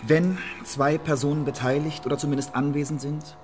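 A man's voice: fairly high pitch, little pitch movement, brisk, a little mellow, soft background music, close to an everyday voice. An actor in a radio play voicing a quarrelsome, sarcastic playwright accused of murder, arguing his innocence in repetitive phrases. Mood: defensive.

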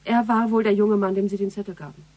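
An actress playing a composed police investigator in a radio crime drama, measured, matter-of-fact and probing. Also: female voice, medium pitch, level tone, mellow, lightly acted.

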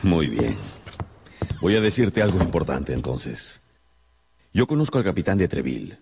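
A solemn, caring father in a radio play. His voice is deep and creaky, with expressive rises and falls. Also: normal speed, noisy background, full character voice. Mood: resolved. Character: grave, caring father of poor Gascon nobility. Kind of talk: radio drama acting.

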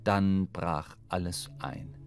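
An actor playing a sailor who gravely recounts a shipwreck in measured literary narration for a radio drama. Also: male voice, sits low, steady tone, music in the background. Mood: solemn.

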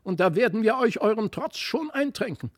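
Man putting on a squawking, high-pitched spiteful doll. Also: lively intonation. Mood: spiteful taunting. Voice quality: ugly squawking voice. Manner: taunting, scolding, drawn-out threats. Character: spiteful female toy doll come to life. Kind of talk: dramatised fairy-tale audiobook reading.

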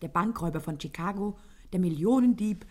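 A woman's voice: lowish voice, expressive, brisk, a little mellow. A woman playing a gangster's amused, teasing sweetheart in a vintage German radio comedy. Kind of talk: 1950s German radio play acting.

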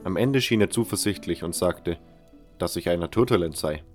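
A man with a fairly low voice narrates a fantasy audio drama in the first person. His delivery is measured and sober, with faint music underneath. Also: darkish tone.

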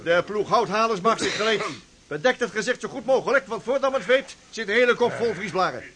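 An actor playing a strict, commanding Dutch ship's skipper in a radio drama, briskly giving orders with authority. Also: male voice, high-pitched, expressive, a crowd in the background.

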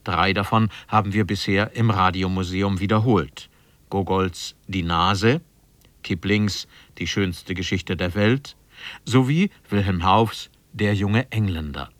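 A man presenting a scripted radio introduction in a formal, factual and measured manner. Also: low voice, fairly bright tone, no room sound. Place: dry radio studio.

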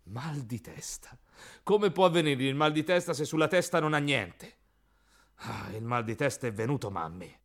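A man's voice: medium pitch, lively intonation, moderate pace, fairly bright tone. An actor voicing a pedantic, exasperated know-it-all man in a radio play. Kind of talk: radio theatre acting.

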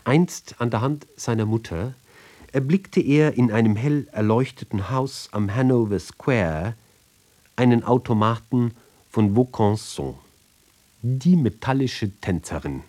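A man giving a literary reading of narrative poems, with deliberate, measured phrasing and pauses between short fragments. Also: mid-range voice, expressive, mellow.

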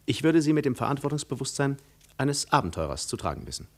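An actor playing a cool, ironic adventurer posing as a prince, measured and sardonically polite, in 1950s radio drama style. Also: male voice, mid-range voice, big pitch swings. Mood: calculating.

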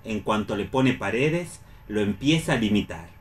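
A man's voice: lowish voice, animated, moderate pace, a little hiss or hum. A man with an Argentine accent explaining things expressively in a radio discussion, sometimes reading aloud.

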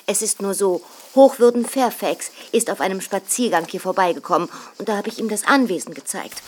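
A woman acting as a manor lady in a radio play. Her mid-pitched voice moves in lively swings. She is poised and socially polished, with a firm, chiding streak. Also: fairly quick, natural voice. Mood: smooth, chiding.

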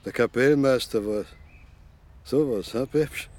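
An actor playing a nosy, prodding village crony with an Austrian accent in a radio play. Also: male voice, medium pitch, unhurried, a little mellow, close to an everyday voice. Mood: curious.

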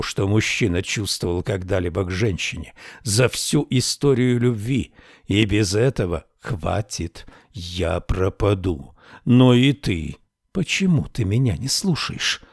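A man reading a storybook voices a fickle, jealous king who pleads softly and then threatens, in slow, low, hushed tones. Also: dry recording, studio quality, exaggerated voice.

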